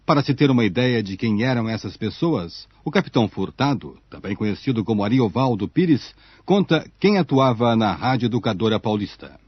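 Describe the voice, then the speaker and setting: A man's voice: mid-range voice, expressive, fairly quick, few pauses, husky, no echo. A Brazilian man reading scripted narration for a radio documentary. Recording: muffled phone-like shortwave radio archive audio.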